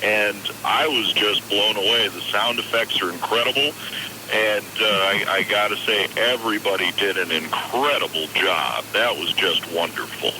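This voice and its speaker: A man's voice: fairly low pitch, normal speed. A man calling in to a radio show, chatting casually and with enthusiasm. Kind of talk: casual phone-in chat. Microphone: telephone. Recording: noisy phone call on radio.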